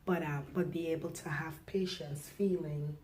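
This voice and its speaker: Low-voiced reflective woman from Guyana. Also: fairly even intonation. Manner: soft-spoken, reflective, halting with fillers. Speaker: nurse, interview guest. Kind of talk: personal interview.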